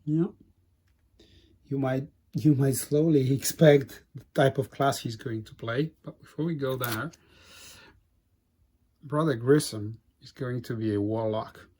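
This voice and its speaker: A man whose first language is Polish, hosting a board game playthrough in casual, halting commentary. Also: mid-range voice, dark tone, slight background noise. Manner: halting, frequent restarts and fillers. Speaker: board game channel host.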